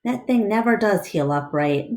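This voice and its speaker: A woman voicing a gruff, penny-pinching man in a storybook, curt and dismissive. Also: mid-range voice, expressive, moderate pace, a little mellow, lightly acted. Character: gruff, penny-pinching man running a roadside animal attraction.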